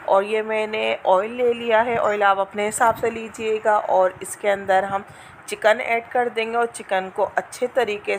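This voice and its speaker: A woman's voice: mid-range voice, slight background noise, poor audio. A woman talking viewers through a home recipe in a chatty, instructive cooking vlog. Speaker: cooking vlogger. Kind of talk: casual cooking walkthrough. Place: home kitchen.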